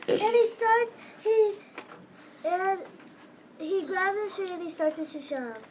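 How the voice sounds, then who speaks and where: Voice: very high voice, animated, takes it slowly, darkish tone. A young school-age child reading a story script aloud as a narrator, stumbling over some words. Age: child, elementary school. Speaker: readers theater narrator. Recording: background ambient noise.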